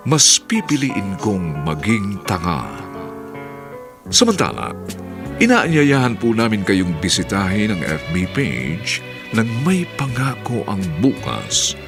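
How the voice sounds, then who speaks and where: A man with a Filipino accent, a radio drama announcer reading formal scripted credits in a theatrical, measured way. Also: fairly low pitch. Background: music.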